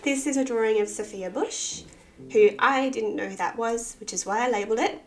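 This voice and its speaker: A woman, husky from a cold with a bit of vocal fry, chatting casually and self-deprecatingly through a sketchbook tour. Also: a little high, fairly quick, faint music behind. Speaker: artist and channel host.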